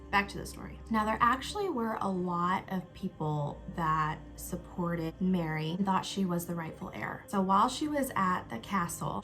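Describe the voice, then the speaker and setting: A young woman talks to her viewers in a chatty, upbeat way while she does her makeup. Her voice sits at a middle pitch, and now and then she drops into a soft whisper. Faint music plays quietly behind her. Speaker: YouTube host. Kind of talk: casual vlog chat while doing makeup.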